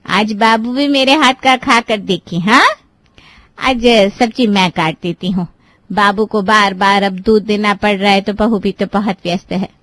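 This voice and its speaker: A woman's voice: medium pitch, a little slow, fairly bright tone, no echo, lightly acted. A woman voicing a helpful middle-aged aunt-in-law in a family moral-story cartoon. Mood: caring.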